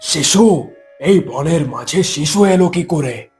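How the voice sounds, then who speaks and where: A man voicing a wise, benevolent forest holy man in a cartoon story, gentle and solemn. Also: a little high, expressive, slow, soft background music. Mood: puzzled.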